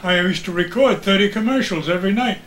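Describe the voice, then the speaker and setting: Older American man, high-pitched, echoey room. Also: fast, fairly bright tone. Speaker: retired radio announcer as guest. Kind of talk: reminiscing casual chat. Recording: echoey room with background noise.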